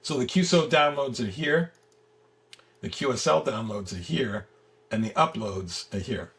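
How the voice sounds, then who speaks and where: A man with a gravelly, husky voice giving a casual software walkthrough as the guest, halting and repeating words as he explains. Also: medium pitch, animated. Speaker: software creator giving a product demo as guest. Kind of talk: casual screen-share walkthrough.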